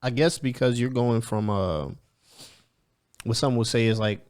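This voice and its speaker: A man co-hosting a podcast who speaks haltingly, with lots of fillers. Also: mid-range voice, a little mellow. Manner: hesitant, filler-heavy. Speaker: podcast co-host. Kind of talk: casual conversation.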